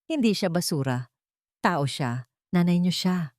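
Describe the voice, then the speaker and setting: Low-voiced woman narrating an audio drama. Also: lively intonation, unhurried, darkish tone, no echo, studio quality. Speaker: storyteller.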